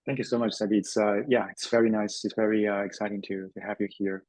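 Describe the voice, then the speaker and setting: A man's voice: on the low side, level tone, fast, darkish tone, no echo. A man hosting a tech webinar as a developer advocate, speaking casually with lots of fillers and restarts. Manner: hesitant, many fillers and restarts.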